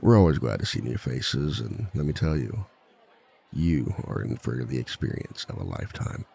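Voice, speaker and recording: A man plays a villain seminar instructor with an extremely low, heavily creaky male voice. He is composed and dryly authoritative, polite as he addresses a class in an ASMR roleplay. The tone is dark and mellow. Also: big pitch swings, moderate pace, heavily acted. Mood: welcoming, composed. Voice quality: very deep, gravelly. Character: composed, dry-witted male villain teaching a relationship seminar. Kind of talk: scripted ASMR roleplay monologue.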